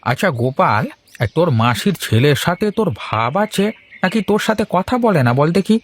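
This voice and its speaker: A man voicing an older village tea-stall owner in a cartoon, gruff, curt and mocking. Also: on the high side, very animated, moderate pace. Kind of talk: Bengali cartoon dialogue dubbing.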